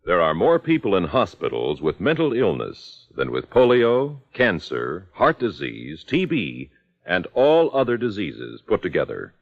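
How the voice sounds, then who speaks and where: Male appeal announcer, low voice, hugely varied emphasis, urgent, vintage muffled radio. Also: wildly swooping pitch, unhurried, creaky. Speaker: public service announcer. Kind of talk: 1950s radio announcement, scripted. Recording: dull 1950s radio recording.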